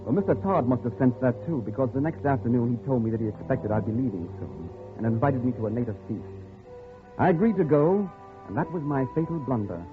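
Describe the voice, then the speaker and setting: An actor playing a weary, desperate captive in an old-time radio drama, earnest and emphatic in both dialogue and narration. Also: male voice, medium pitch, lively intonation, fairly quick, loud music behind the voice, close to an everyday voice. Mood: grim recounting. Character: desperate male captive of a jungle recluse, weary, pleading. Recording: tinny, band-limited vintage radio recording.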